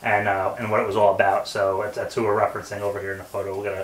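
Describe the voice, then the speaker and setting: A man's voice: on the low side, little pitch movement, racing. A man hosting a casual conversational interview with firefighters in a workshop. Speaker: podcast host interviewing firefighters. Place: indoor workshop. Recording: echoey room, distant mic, background noise.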